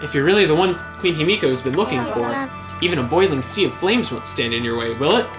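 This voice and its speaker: A courteous young handmaiden from a video game, read out by a man who barely changes his voice. The tone is formal and slightly high. Game music plays clearly behind. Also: animated, fast, lightly acted, poor audio. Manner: reading game text aloud plainly. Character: polite young female palace handmaiden.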